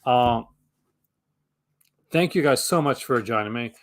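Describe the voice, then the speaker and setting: Male young adult host, mid-pitched, chatty and rambling, hoarse from a long session. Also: slow pace. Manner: rambling, enthusiastic, many fillers and restarts. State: losing his voice. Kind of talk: casual live stream chat.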